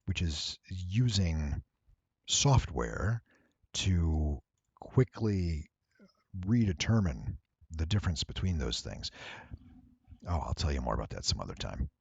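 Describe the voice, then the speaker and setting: A man giving a casual, rambling software walkthrough, thinking aloud and playfully addressing his viewers. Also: rumbling low, very animated, slow pace, gravelly, pauses often, husky, no echo, dull audio. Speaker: tutorial presenter and voice actor.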